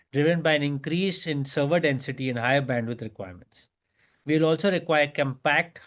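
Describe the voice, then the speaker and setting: A man's voice: a little high, a bit bright. A man with an Indian accent reads a prepared earnings presentation in a formal, scripted manner as a company executive.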